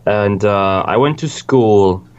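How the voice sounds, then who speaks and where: A young man from Switzerland talks through his past in a loose, rambling way, restarting sentences and swearing casually. His voice sits on the low side. The sound is dull and lo-fi. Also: takes it slowly, smooth. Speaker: music producer, guest. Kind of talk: casual interview chat.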